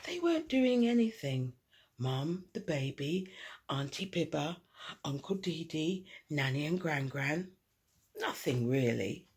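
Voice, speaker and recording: A woman reading a children's picture book aloud expressively, dramatic, with hushed asides and emphasis on repeated words. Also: low voice, big pitch swings, takes it slowly, husky. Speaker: storyteller.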